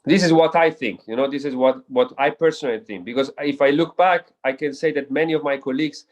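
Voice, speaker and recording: A man's voice: medium pitch, a little fast, muffled recording. A man speaking as an air race pilot in a casual interview, earnest and reflective, who often stumbles and repeats words while searching for them.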